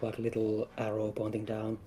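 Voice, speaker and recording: Mellow man with fairly flat intonation. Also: fairly low pitch, normal speed. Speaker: game developer, livestream guest. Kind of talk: casual explanatory chat. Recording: some background noise.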